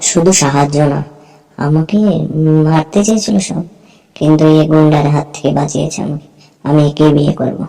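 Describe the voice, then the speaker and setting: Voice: mid-range voice, steady tone, a little fast, creaky, long pauses, warm tone, poor audio. A performer voicing a young village woman lost in a jungle, grateful and insistent, in amateur cartoon dialogue. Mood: earnest.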